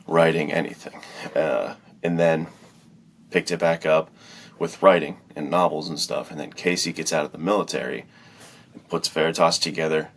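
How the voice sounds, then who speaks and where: A man with a very deep, gravelly voice telling stories in a casual, slangy, conversational way on a podcast. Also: a bit bright. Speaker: podcast speaker, filmmaker and writer.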